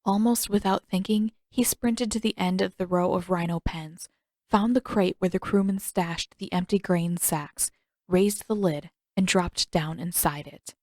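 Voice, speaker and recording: A woman's voice: fairly low pitch, unhurried, a little mellow, no room sound. Woman narrating a story, dry close sound. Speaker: audiobook narrator reading own fan fiction.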